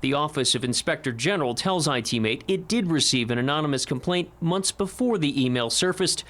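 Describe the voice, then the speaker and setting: A man's voice: on the high side, lively intonation, brisk, fairly bright tone, a little hiss or hum. An American man reading a scripted investigative television news report as the reporter.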